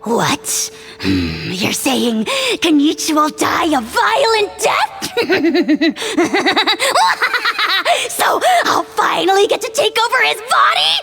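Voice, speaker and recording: A woman's voice: extremely high pitch, vocal fry, bright, forceful tone, exaggerated voice. A woman voicing a tiny, arrogant, gleefully villainous dragon creature in a video game, pompous and theatrical. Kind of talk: video game character acting.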